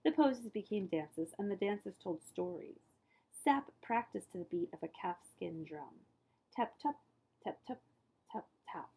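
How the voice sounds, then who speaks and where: A woman reading a children's story aloud as a librarian, with expressive emphasis and phrases that often fall hushed. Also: medium pitch, a little slow, husky, poor audio.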